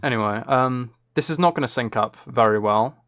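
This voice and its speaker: A man's voice: medium pitch, a little fast. A British man thinking aloud in a rambling, casual way, like a streamer chatting while he works on music. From Britain. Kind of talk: casual chat while making music on a livestream. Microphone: streaming microphone, close.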